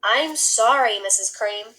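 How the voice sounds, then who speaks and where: A woman's voice: on the high side, takes it slowly, sharp tone, a little hiss or hum, low-quality recording. A woman voicing a polite, apologetic but firm male school principal from a children's storybook.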